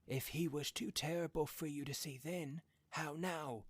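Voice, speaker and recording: A man's voice: on the high side, fairly even intonation, a little slow, husky, dry recording, pitched above the performer's own voice. Man acting a shaken, fearful old husband. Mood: shaken, pleading. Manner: shaky, alarmed, protesting.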